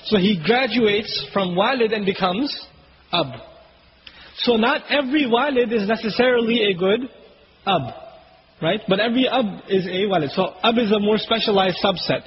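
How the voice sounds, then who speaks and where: Male preacher, native Urdu speaker, high voice, teaching Arabic word meanings; dull lo-fi recording with faint hiss. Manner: explains word meanings slowly, recites Quranic Arabic quickly. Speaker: Islamic preacher. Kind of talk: religious lecture.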